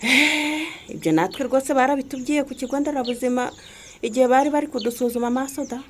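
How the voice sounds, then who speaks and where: An actress playing a caring, resigned village mother in a radio drama, expressive and full of interjections. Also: sits high, fast, close to an everyday voice. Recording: faint background noise with birdsong. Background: birdsong.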